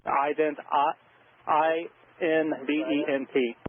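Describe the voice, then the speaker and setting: A male air traffic controller talks over a thin, narrow-band radio link with light static. His pitch is a little high for a man but stays very even. He speaks in halting, procedural instructions, repeating call signs as he goes. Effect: aircraft radio transmission.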